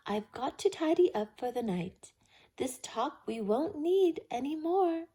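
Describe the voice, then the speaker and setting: A woman voicing a gentle, sleepy mother bear in a children's storybook, motherly in manner. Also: sits high, expressive, a little slow, pitched above the performer's own voice. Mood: tidy, unbothered. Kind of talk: children's storybook read-aloud.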